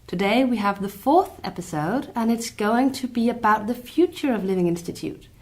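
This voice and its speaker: A woman's voice: on the high side, lively intonation, moderate pace, a little mellow. A woman hosting a business podcast, asking questions in a polite, upbeat way. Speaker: podcast host. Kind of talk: scripted podcast interview.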